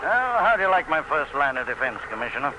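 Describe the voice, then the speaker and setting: A man acting as a sardonic, supremely confident plantation boss in a radio drama, with a brisk, cocky, commanding manner. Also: a little high, sing-song. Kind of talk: theatrical radio drama dialogue. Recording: noisy radio drama recording with ambience.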